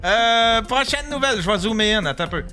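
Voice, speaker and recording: A man's voice: high voice, lively intonation, fairly quick, over music. A man with a Québécois French accent co-hosting a comedy podcast, reading out headlines with casual, hesitant, giggly banter.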